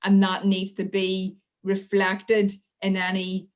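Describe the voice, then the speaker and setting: A woman speaking as a podcast guest, halting, with many restarts. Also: mid-range voice, completely flat delivery. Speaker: interview guest. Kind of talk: podcast interview.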